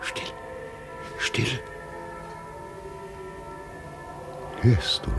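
An actor playing a solemn, humble ageing labourer with grand delusions in a German radio play, speaking weightily and mysteriously in halting phrases. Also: male voice, over music. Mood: mysterious, exalted. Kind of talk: 1950s German radio drama acting.

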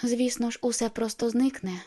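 A woman's voice: fairly high pitch, a little fast, warm tone, close to an everyday voice. Woman voicing a self-assured, cool female vampire seer. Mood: smug. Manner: confident, smug, soothing.

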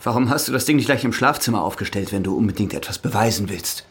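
Low-voiced, guarded, brooding man, acted. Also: animated, quick pace, close to an everyday voice. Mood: guarded, dry. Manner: curt, guarded, skeptical. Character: embittered, guarded male ex-convict husband, curt and brooding. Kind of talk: radio play acting.

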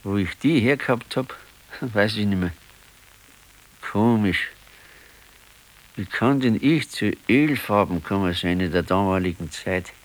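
An actor playing an ageing, wistful husband in a naturalistic radio play, with a Bavarian accent and a halting, ruminative manner. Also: male voice, on the low side, lively intonation, poor audio. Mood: musing, wistful. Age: older. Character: ageing husband, musing wistfully over his past. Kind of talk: naturalistic radio drama acting.

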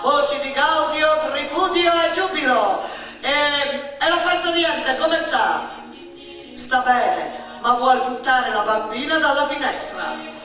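A woman playing a rude but sensitive, bossy hospital telephonist, loud, officious and exclamatory, in a theatrical monologue. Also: high-pitched, expressive, takes it slowly, over music, pitched above the performer's own voice. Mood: excited. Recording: distant, very echoey room.

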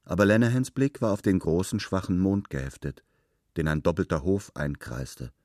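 Low-voiced man narrating literary fiction. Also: moderate pace, darkish tone, no echo, clean studio sound.

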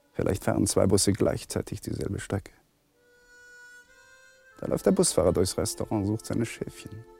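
An actor playing an anxious husband, speaking a fragmented inner monologue in a raspy, gravelly voice in a radio play. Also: male voice, fairly low pitch, mellow, heavily acted.

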